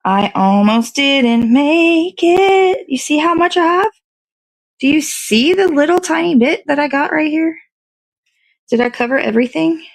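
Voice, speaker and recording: A woman's voice: sits high, measured pace, gravelly. A woman hosting a casual hands-on cake decorating demo, talking to viewers and half to herself in short fragments as she works. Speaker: show host.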